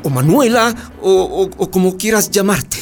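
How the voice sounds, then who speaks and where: An actor playing an embarrassed, contrite church pastor in a radio drama, halting and stammering. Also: male voice, sits high, lively intonation. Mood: sheepish. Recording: music playing behind.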